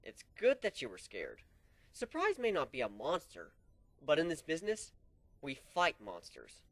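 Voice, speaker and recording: A man voicing a calm, cruel male griffin ship captain who lectures in an unhurried, coolly amused way. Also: fairly high pitch, no echo, full character voice. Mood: stern lecture.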